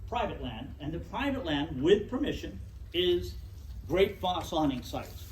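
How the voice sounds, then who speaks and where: A middle-aged man lectures to a club in a loud, projecting voice that sits a little high. The mic is some distance away, so his voice echoes around the meeting room, with faint noise underneath. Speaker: guest speaker.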